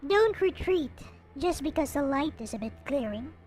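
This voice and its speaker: A woman voicing a gentle but firm young blind woman in a visual novel, stern and encouraging. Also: sits high, animated, normal speed, a little hiss or hum. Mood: stern, urging.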